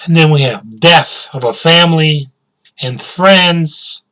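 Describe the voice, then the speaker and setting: Male teacher, slightly high voice, unhurried delivery, repeats questions and key words to students. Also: fairly bright tone. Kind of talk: slow spoken English lesson.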